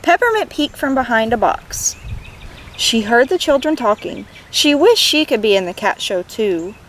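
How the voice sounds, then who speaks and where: A woman reading a storybook aloud to children in an expressive, gentle way, as a read-aloud host. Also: on the high side, moderate pace.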